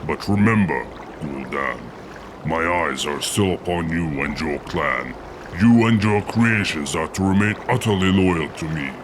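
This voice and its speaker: A man playing a stern, commanding orc war chief in a fantasy game, speaking with measured authority. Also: low-pitched, expressive, background noise. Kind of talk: fantasy game cutscene acting.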